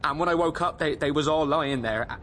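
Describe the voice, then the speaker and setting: A man acts out a fragile young English soldier for a radio play. His voice sits fairly high and comes in halting, stammered, emotional bursts, with faint ambient noise behind it. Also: fairly bright tone, lightly acted. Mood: distraught. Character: shell-shocked young English soldier turned deserter, fragile, impassioned husband. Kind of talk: radio drama acting.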